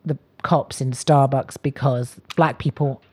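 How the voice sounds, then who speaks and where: A woman's voice: a bass voice, fairly even intonation, a little slow, a little mellow, slightly rough. A middle-aged woman, a comedian guest talking casually with wry self-mockery. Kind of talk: casual, chatty interview.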